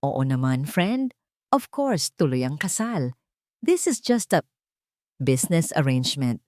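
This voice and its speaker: A woman's voice: low voice, sing-song, measured pace, a little mellow, dry recording, studio quality, pitched below the performer's own voice. Woman acting a sugary, haughty jealous fiancée. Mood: smug. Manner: sweetly condescending.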